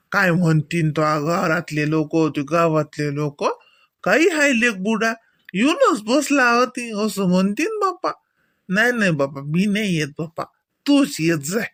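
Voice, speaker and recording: A woman plays an elderly cartoon village mother with a medium-pitched voice. She is modest and fretful, grumbling in homely colloquial phrases with a Varhadi Marathi accent. The recording is dry. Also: animated, a little slow, a bit bright, dry recording. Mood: reluctant, fussing. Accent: Varhadi Marathi, Vidarbha. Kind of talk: rural comedy cartoon dialogue.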